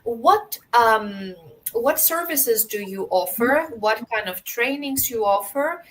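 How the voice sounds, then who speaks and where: A woman's voice: a little high, animated, slow, slight background noise, rough recording. A woman hosting a video podcast who asks questions in a friendly, conversational way over a video call. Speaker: podcast host. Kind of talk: casual interview chat.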